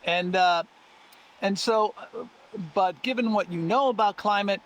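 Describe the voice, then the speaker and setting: A man interviewing for an oral history project, asking his questions haltingly with many pauses and fillers. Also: sits high, rough recording.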